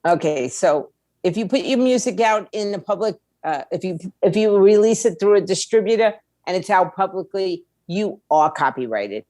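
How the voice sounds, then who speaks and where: A woman's voice: medium pitch, lively intonation, normal speed, dark tone, no room sound. A middle-aged woman giving candid, plain-spoken advice as an expert guest in a conversational interview. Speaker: guest, music industry attorney.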